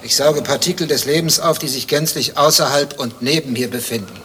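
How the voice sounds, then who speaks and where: An actor playing a brooding, bitter betrayed husband in a radio play, speaking in terse, probing, sardonic lines. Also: male voice, fairly high pitch, fairly quick, a bit bright, slight background noise, natural voice. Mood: weary. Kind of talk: German radio play dialogue.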